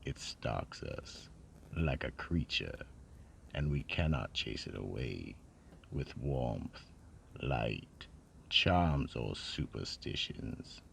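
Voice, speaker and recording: Extremely deep, gravelly male storyteller. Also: very animated, fairly bright tone, a little hiss or hum. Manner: theatrical, dramatic pauses. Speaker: narrator and host of a mystery radio drama. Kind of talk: scripted eerie storytelling narration.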